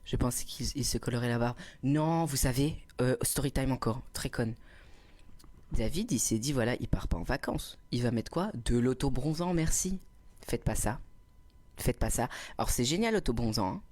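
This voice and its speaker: A man's voice: medium pitch, normal speed, a little mellow. A young man doing a casual livestream, reading chat comments and telling teasing, self-mocking stories into a cheap little microphone. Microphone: cheap small clip microphone.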